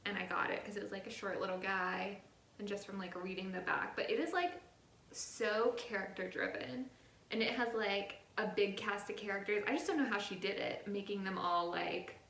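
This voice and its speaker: A woman's voice: mid-range voice, normal speed, gravelly, fairly bright tone. A young woman in casual, chatty conversation about books, speaking informally with lots of filler words. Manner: informal, chatty, heavy use of "like". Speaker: book reviewer co-hosting a book tag chat. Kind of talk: casual chat. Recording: roomy echo, some background noise.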